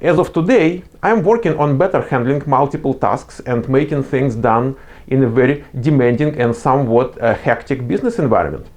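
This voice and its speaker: A man's voice: mid-range voice, expressive, brisk, a little mellow. A man with a non-native accent gives earnest, explanatory job interview advice, with frequent fillers and restarts. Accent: non-native English. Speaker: career advice presenter. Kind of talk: instructional talk to camera.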